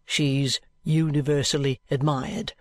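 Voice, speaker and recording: Brief: man playing a patient, shrewd detective, slightly high voice, dry close recording. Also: takes it slowly, dry recording. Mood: patient, insistent. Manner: steady, persistent, respectfully insistent. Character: shrewd, patient middle-aged police detective. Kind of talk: audiobook character reading.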